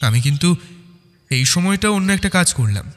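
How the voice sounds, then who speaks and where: A man's voice: sits high, expressive, normal speed, fairly bright tone. A man narrating a scripted first-person detective story. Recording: long reverb on the voice.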